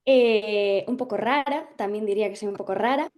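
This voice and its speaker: A young woman from Galicia, an actress, talking through an interview thoughtfully, with frequent pauses and fillers as she searches for words. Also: medium pitch, a little mellow. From Galicia, Spain. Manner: thoughtful, hesitant, many fillers and restarts. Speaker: actress guest. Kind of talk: casual interview chat.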